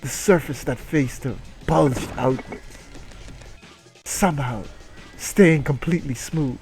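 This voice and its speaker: Slow-paced man reading comically over music. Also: medium pitch, a little mellow. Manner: crude, sweary, irreverent. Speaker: reader and comedic streamer. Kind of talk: mock-dramatic story reading with comic asides.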